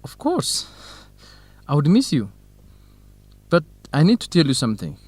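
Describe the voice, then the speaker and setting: A man's voice: on the high side, wildly swooping pitch, measured pace, a little mellow, no echo, heavily acted. An actor playing a caring, reassuring young man in an educational radio drama, speaking earnestly. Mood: confiding.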